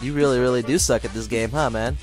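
A young man trolling an online opponent over a headset, with sarcastic, deadpan mockery and sudden laughter. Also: medium pitch, quick pace, music in the background. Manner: mocking, sarcastic, deadpan jokes, bursts of laughter. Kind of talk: taunting party chat over gameplay.

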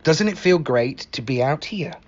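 A man reading a picture book aloud in an expressive, animated manner, acting out the exclamations. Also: fairly high pitch, normal speed, lo-fi sound. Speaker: library staff story reader.

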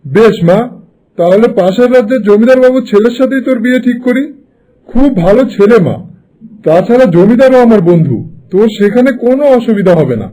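A man's voice: very high voice, lively intonation, fairly quick, mellow, rough recording. A man playing a stern, scheming middle-aged landlord father in a cartoon fairy tale. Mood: fatherly. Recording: music playing behind the voice.

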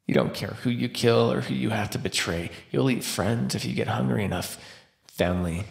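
A man voicing a starving captive vampire in an immersive roleplay monologue, sardonic and flirtatious with a desperate edge. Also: fairly low pitch. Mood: bleak, confessional. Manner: sardonic, teasing, breathy pauses and sighs. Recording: roomy, echoing sound.

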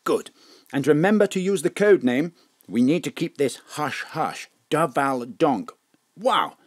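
An actor playing a furtive, fussy top civil servant, conspiratorial and animated in a comic audio drama. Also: male voice, fairly high pitch, a little slow, no room sound, studio quality. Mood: urgent, excitable.

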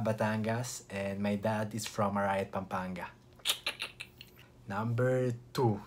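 A young man with an Italian accent talks casually to a camera in a small indoor room. His voice sits a little low, and a faint hum of room ambience hangs behind him. He speaks in an easygoing, chatty way, restarting sentences and pausing briefly. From Italy. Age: young adult. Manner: relaxed, chatty, with frequent restarts and short pauses. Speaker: vlogger.

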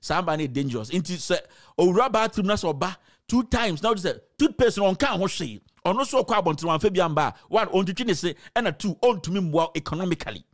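A man's voice: sits high, lively intonation, a little fast. A man in his forties with a Ghanaian accent, giving an opinionated advice monologue at a close studio microphone. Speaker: commentator.

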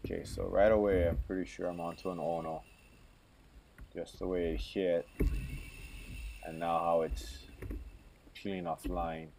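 A man narrating his own kayak fishing footage, calm and matter-of-fact, explaining each step as he goes. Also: sits low, unhurried, gravelly, long pauses, a little hiss or hum, poor audio. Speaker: kayak fisherman.

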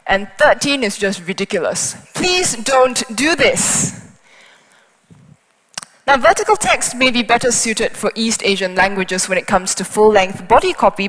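Woman with a higher voice giving a talk in a hall. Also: fairly bright tone. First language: Chinese. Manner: explanatory, brisk, with small asides. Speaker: conference speaker. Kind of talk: technical conference talk. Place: large conference hall on stage. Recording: hall reverb.

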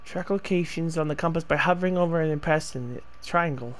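A man's voice: on the high side, quick pace, fairly bright tone. A man giving rambling, casual commentary while playing a game. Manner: rambling, talks to himself. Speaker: gameplay streamer.

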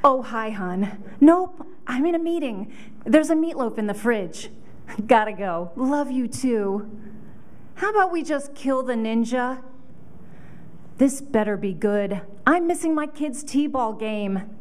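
Here is a woman acting as a harried working mom who is a casually sinister deputy mayor. Her voice sits a little high with a mellow tone. She delivers the comic audition monologue briskly and deadpan, as matter-of-fact about crime as about dinner. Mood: harried, lively. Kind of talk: audition comedy monologue read. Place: hotel conference room, at a standing mic.

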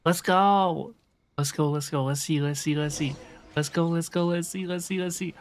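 A woman's voice: low-pitched, steady tone, normal speed, noisy background. A young woman game streamer chatting casually with viewers as she plays, often repeating her phrases. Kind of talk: casual live-stream chat and gameplay commentary. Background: music.

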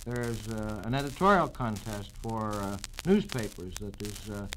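A man giving formal, halting answers in a radio interview, pausing hesitantly often. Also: fairly low pitch, lively intonation, fairly bright tone. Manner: halting, hesitant with many pauses. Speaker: state campaign chairman, company president. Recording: noisy, clicking 1940s radio transcription disc.

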